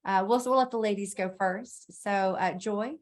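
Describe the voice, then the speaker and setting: Brief: woman podcast host, mid pitch, chatty, on a video call. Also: normal speed. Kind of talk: casual panel discussion.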